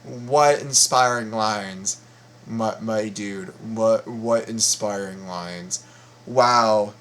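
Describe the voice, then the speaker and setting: A man giving casual reaction commentary, often halting and repeating his words. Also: on the low side, fairly bright tone, a little hiss or hum. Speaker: reaction video host.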